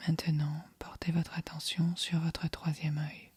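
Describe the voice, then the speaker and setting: A woman guiding a yoga nidra meditation in a soft, breathy, whispery voice, calm and soothing. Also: sits low, no room sound. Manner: calm, soothing, measured delivery. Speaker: meditation guide.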